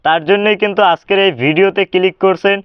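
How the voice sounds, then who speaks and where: A man presents a tutorial in a notably high, clean and resonant voice. He speaks as a host reading a prepared intro or sign-off. The track is very dry and slightly muffled, lo-fi. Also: steady tone, fast talker, clean tone, no echo. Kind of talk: scripted video intro and sign-off.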